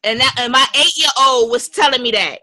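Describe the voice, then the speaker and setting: A woman's voice: high-pitched, fairly quick, sharp tone. A thirty-nine-year-old woman chatting casually in an online group call. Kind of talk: casual chat. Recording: slightly noisy video call, clicks.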